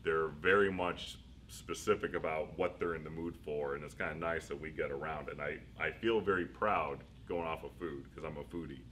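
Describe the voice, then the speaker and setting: Casting: middle-aged male administrator, very low voice, loose rambling answers, light hiss behind. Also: steady tone, moderate pace, a bit bright. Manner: rambling, self-deprecating, laughs often. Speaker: school district superintendent. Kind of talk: interview answers.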